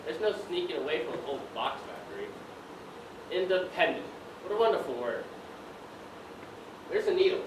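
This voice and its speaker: A man's voice: high-pitched, lively intonation, normal speed, pauses often, distant mic. A teenage male student acting as a dreamy, determined young factory worker in a stage play, with earnest, projected delivery. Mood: dreamy, hopeful. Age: teens. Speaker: student actor. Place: stage in a large room, distant mic. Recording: roomy, noisy stage recording from afar.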